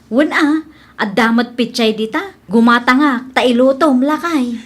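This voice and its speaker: A woman's voice: high voice, a little slow. A woman playing a kindly, thrifty village wife in an Ilocano comedy radio drama, with an Ilocano Filipino accent. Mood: homely, coaxing.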